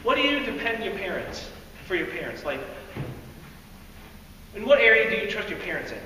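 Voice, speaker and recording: A teenage boy speaking loudly and energetically to a group, his voice very high and bright. He laughs often as he talks. Heard from far off in a large, echoey hall, with a long reverb tail and faint noise behind him. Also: very animated, moderate pace. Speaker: youth speaker. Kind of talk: lively devotional talk to a group. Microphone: no microphone.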